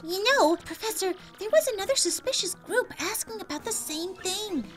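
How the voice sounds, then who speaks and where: A woman's voice: extremely high pitch, lively intonation, normal speed, over music. A woman voicing a perky, sassy young female Goomba student sidekick with a casual, pert manner, in a game fan dub. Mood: curious.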